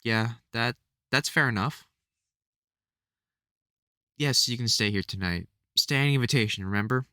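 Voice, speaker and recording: A man playing a soft-hearted, protective vampire hunter mentor in an intimate audio roleplay, speaking fondly and hesitantly with wry exasperation. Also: medium pitch, measured pace, pauses often, smooth, no echo, clean studio sound. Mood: tender, relieved. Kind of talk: intimate audio roleplay acting addressed to the listener.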